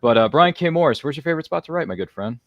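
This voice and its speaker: A man's voice: on the high side, expressive, racing, no room sound, phone-quality sound. A man hosting a casual roundtable, chatty and jokey, drifting into slangy asides. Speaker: host. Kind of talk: casual roundtable hosting, reading viewer comments.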